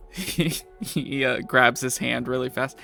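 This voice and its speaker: A man with a gravelly voice casually narrating a tabletop game as game master. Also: mid-range voice, slow, sharp tone, faint music behind.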